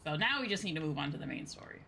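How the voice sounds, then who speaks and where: A woman's voice: deep voice, expressive, racing, bright, forceful tone, slight background noise. A woman livestreamer chatting casually to her viewers in a rambling, repetitive way. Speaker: VTuber streamer. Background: background music.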